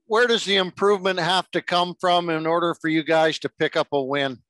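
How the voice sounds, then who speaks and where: Male reporter, higher pitch, direct and concise, dry mic. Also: fairly even intonation, fairly quick, fairly bright tone, dry recording. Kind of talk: press-conference question.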